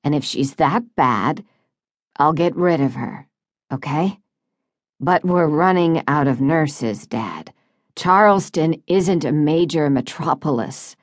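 A woman's voice: sits low, expressive, slow pace, no echo, clean studio sound. A woman voicing a wealthy, impatient grown son with a curt manner in an audiobook. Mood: softer, placating. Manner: curt, exasperated.

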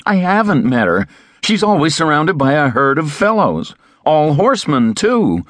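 A man's voice: on the high side, very animated, moderate pace, a bit bright, no room sound, studio quality, pitched above the performer's own voice. Man voicing a gushing lovestruck young man. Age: young adult. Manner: breathless, pleading, gushing. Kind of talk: audiobook character voice.

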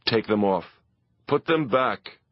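A man doing a gruff, scolding father. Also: fairly low pitch, lively intonation, dry recording. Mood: stern. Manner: scolding, drawn-out and stern. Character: gruff, weary, short-tempered father. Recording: dull, dry lo-fi recording.

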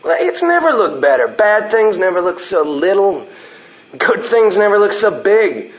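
An actor playing a slow, gentle ballplayer who is dying, in plain, halting country talk. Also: male voice, high-pitched, big pitch swings, lo-fi sound. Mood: musing. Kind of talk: radio drama acting.

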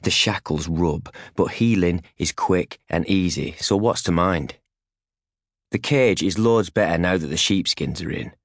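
Male audiobook narrator, very low pitch, terse clipped phrasing, close dry studio sound. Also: measured pace, gravelly, no room sound. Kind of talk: scripted first-hand fiction narration in second person. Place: recording studio.

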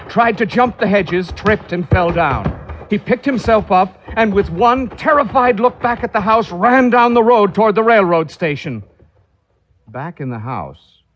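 A man telling a ghost story as a dramatic scripted narrator. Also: high-pitched. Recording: dull, muffled old record.

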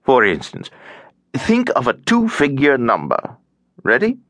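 A man's voice: medium pitch, huge pitch swings, measured pace, fairly bright tone, no echo, voice pushed up, exaggerated voice. A man voicing a cheerful, tiresome, garrulous elderly Russian émigré in an audiobook, droning on with over-familiar chattiness. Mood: jolly, fussy. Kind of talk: audiobook character acting.

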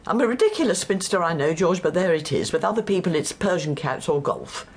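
Actress as a fluttery, lively older English spinster. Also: female voice, fairly low pitch, a little hiss or hum, natural voice. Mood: earnest. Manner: apologetic, fluttery, brisk. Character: earnest, fussy, self-deprecating English spinster. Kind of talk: radio drama dialogue.

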